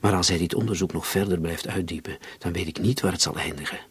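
A man's voice: sits low, steady tone, fast, breathy edge, no echo. An actor playing a troubled, guilt-ridden man under suspicion in a radio drama, speaking earnestly and defensively. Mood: worried.

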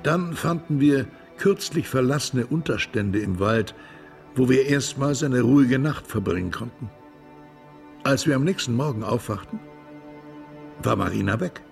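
A man's voice: mid-range voice, expressive, unhurried, vocal fry, soft background music. A man acting a wistful Russian émigré veteran who recalls old adventures plainly in a radio drama. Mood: reminiscing. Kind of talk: radio drama dialogue, storytelling.

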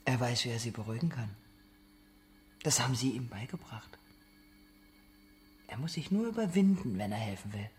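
An actor playing a relentless, accusing interrogator in a German radio drama, speaking in short pointed phrases. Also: male voice, mid-range voice, expressive, normal speed, pauses often, a little mellow, natural voice. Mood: probing, controlled.

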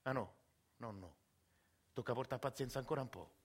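An actor in his forties playing a working-class Roman father who tells his story in a quiet, wry, confiding way, with a Roman accent. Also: medium pitch, brisk, lightly acted. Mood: quiet, firm. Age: 40s. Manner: soft-spoken, rambling, wry confiding storyteller. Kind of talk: radio drama monologue.

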